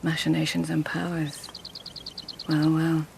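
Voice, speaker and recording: A woman's voice: low voice, completely flat delivery, unhurried, creaky, a little mellow, slight background noise. A woman playing a shrewd, witty lady-in-waiting at an imperial court in a radio drama, speaking in a poised, articulate, formal way. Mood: wry, teasing. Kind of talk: 2000s radio drama acting.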